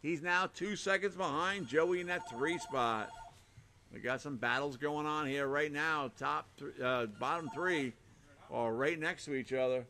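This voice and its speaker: A man calling a live RC car race with a hoarse, fading voice, rattling off names and lap times in short bursts. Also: fairly high pitch, a little slow, pushed and bright, a little hiss or hum. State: hoarse, losing his voice. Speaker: race announcer.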